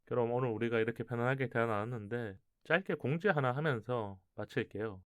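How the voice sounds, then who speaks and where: A man's voice: medium pitch, fairly quick, no room sound. Dry, close-sounding male radio host. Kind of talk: conversational radio hosting.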